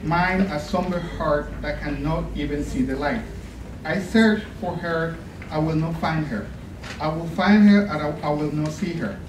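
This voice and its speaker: A man's voice: a little high, measured pace, background noise. A man giving an impassioned, pleading dramatic poetry reading at a contest. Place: college event room. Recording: echoey room, distant mic.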